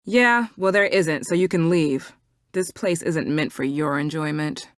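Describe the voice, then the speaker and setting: An actress playing a guarded, defensive young herbalist shopkeeper in a video game, curt and suspicious in manner. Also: female voice, sits low, a little slow, no echo, clean studio sound. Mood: hostile, suspicious.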